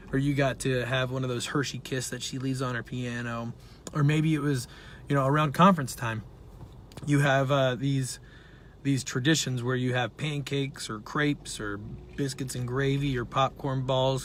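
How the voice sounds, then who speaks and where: A man who is a dietitian and nutrition professor, talking casually and earnestly to his viewers while his voice is raspy from laryngitis. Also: medium pitch, slight background noise. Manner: earnest, conversational, with frequent fillers. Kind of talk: casual talk to camera.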